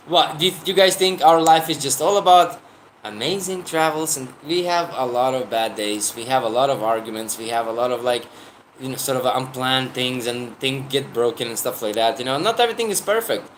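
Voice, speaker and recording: A man's voice: medium pitch, a little fast, slight background noise, rough recording. A man in his thirties who talks in a rambling, casual way, with lots of restarts, while chatting with viewers on a travel vlog livestream. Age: 30s. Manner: rambling, with frequent restarts and fillers. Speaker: travel vlogger.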